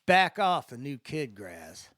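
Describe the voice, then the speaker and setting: A man's voice: mid-range voice, sing-song, slow, fairly bright tone, no echo, clean studio sound. A man voicing an easygoing, folksy spacefarer with a drawl in a dramatic story reading. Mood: coolly warning. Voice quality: Southern-style drawl.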